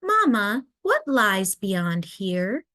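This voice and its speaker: A woman's voice: mid-range voice, sing-song, extremely slow, pushed and bright, dry recording, studio quality, heavily acted. A woman voicing curious, anxious young polar bear cubs from a storybook, with a wide-eyed questioning manner. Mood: curious, worried.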